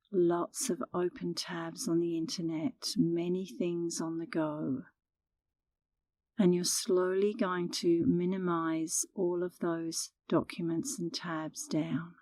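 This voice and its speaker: Female meditation guide, slightly low register, soothing and unhurried, very dry intimate mic. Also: darkish tone, no room sound. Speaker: meditation instructor.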